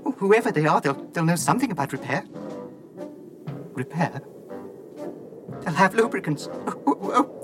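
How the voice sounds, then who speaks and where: A man's voice: high voice, animated, normal speed, pauses often, over music. A man playing a fussy, anxious, self-pitying robot in a radio drama, prissy and theatrical in his complaints. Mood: despairing. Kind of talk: dramatised radio science-fiction acting.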